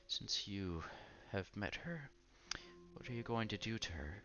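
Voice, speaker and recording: A man voicing a reserved, polite elderly gentleman from a visual novel, speaking in a measured, halting way. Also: lowish voice, lively intonation, gravelly, dull audio, slight background noise, heavily acted. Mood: wary, hushed.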